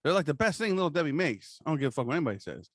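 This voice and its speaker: A man's voice: a little high, very animated, rapid-fire, a bit bright, no room sound, studio quality. An American man co-hosting a podcast in casual, comedic chat, rambling along with plenty of swearing and slang. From New Jersey, USA. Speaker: podcast co-host.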